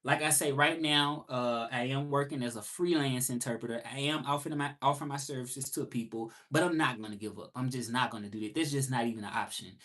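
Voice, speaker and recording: A man's voice: medium pitch, fairly quick, few pauses, a bit bright. Southern man, 30s, reflective livestream host, repeats key points. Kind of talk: casual live monologue.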